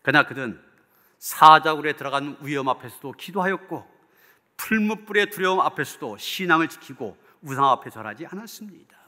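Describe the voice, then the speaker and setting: A man's voice: on the high side, animated, fairly quick, pushed and bright. A man preaching as a pastor in an earnest, emphatic and rhetorical manner. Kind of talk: sermon preaching, closing in prayer. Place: church hall. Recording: long hall reverb.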